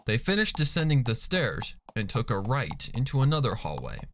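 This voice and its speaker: A man's voice: mid-range voice, wildly swooping pitch, normal speed, fairly bright tone, a little hiss or hum. A man reading a scripted story as a dramatic narrator. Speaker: fan fiction reader and narrator.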